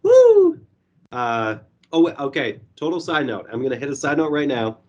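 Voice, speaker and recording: A young man reviewing a movie in casual podcast chat. His voice is hoarse and going, and he rambles with lots of fillers and swearing. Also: medium pitch, huge pitch swings, normal speed, darkish tone, dull audio.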